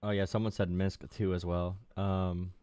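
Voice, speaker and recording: Deep, mellow-voiced man calmly questioning. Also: smooth, no room sound. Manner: calm, questioning, measured. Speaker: host. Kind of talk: call-in debate.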